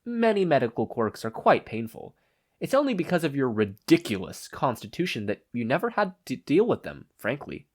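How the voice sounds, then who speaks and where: A man plays a fussy male official in a story, pitched well above a normal talking voice. He is prim and precise, with an exasperated edge. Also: very animated, moderate pace. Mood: dismissive.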